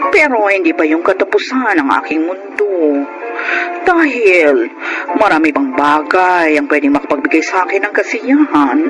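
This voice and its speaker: A hopeful transgender heroine in a radio drama. The delivery is earnest and reflective, that of someone resilient looking back on life. Music plays clearly behind the voice. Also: female voice, fairly low pitch, poor audio. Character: hopeful adult transgender woman, resilient about love.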